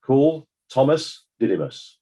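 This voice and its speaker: A man playing a formal, probing examiner who puts questions in a measured, official way in a dramatized inquest. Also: medium pitch, lively intonation, very noisy.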